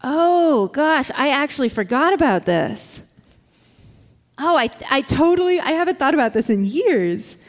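A woman guest from California talks about her memoir in a lively, self-deprecating way, full of "like" and "you know". Her voice carries a long reverb tail. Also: high voice, a little slow. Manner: animated, self-deprecating, many fillers. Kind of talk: casual interview chat.

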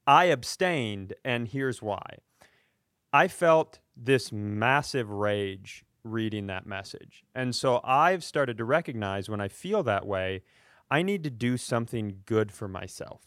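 A man's voice: mid-range voice, expressive, slow pace, a bit bright, no echo, studio quality. An American man hosting a comedy podcast, ranting casually in an indignant, emphatic and profane way. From rural Upper Midwest United States.

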